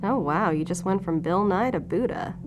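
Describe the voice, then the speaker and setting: A woman's voice: medium pitch, normal speed, over music, natural voice. An actress playing a guarded, sarcastic female agent in an audio drama, speaking bluntly and casually in a naturalistic way. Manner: sardonic, deflecting, casual and blunt.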